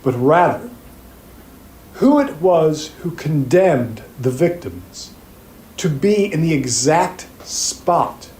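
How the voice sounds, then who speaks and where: A man playing an earnest, grave plaintiffs' attorney in an audio drama, speaking formally and with deliberate pauses. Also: on the high side, sing-song, darkish tone. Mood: earnest, persuasive. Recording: roomy echo, faint background noise.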